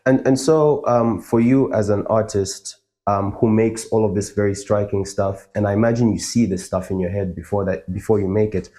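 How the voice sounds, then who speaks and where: A man raised in Zambia hosts the interview, putting careful, thoughtful questions and murmuring along as his guest talks. His voice sits on the low side and sounds mellow and warm. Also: fairly even intonation, moderate pace, hardly any pauses. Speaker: interviewer, host. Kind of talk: conversational interview.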